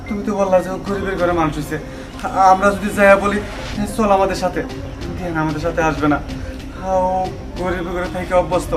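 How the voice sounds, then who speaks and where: Man acting sensible rich father over loud music. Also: high-pitched, natural voice, low-quality recording. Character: rich middle-aged son, anxious, sensible scheming father. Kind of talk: web drama acting. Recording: loud music under dialogue.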